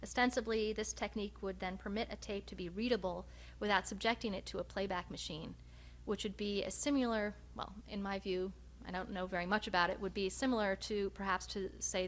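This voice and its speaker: A woman researcher giving a research presentation, speaking in a measured, hesitant way with frequent pauses. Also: medium pitch. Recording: noticeable background hum and noise.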